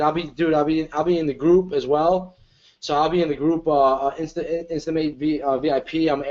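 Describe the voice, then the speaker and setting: A man co-presenting an online webinar, pitching hard in casual, slangy bro talk over a video call. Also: a little mellow, muffled recording. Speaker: co-presenter and marketer.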